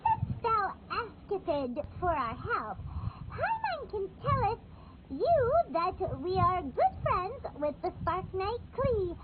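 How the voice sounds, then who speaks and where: Very high, squeaky little fairy. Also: female voice, wildly swooping pitch, slow pace, background noise, exaggerated voice. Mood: coaxing. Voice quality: squeaky, childlike. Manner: speaks of self in third person, exclamatory. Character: tiny childlike female fairy companion, excitable and whiny. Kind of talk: anime game voice acting. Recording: muffled narrow-band game audio.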